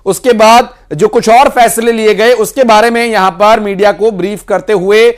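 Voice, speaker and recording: A man's voice: sits high, fairly even intonation, normal speed. A man presenting news, recapping and explaining government decisions in long, wordy sentences.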